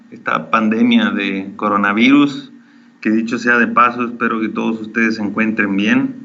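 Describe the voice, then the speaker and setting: A Mexican man in his forties with a slightly mixed Mexican and Chilean accent, a veterinarian opening an online lecture in a friendly, halting way with many fillers. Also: on the low side, fairly bright tone, highs cut off. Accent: Mexican with some Chilean. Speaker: veterinarian giving a webinar talk.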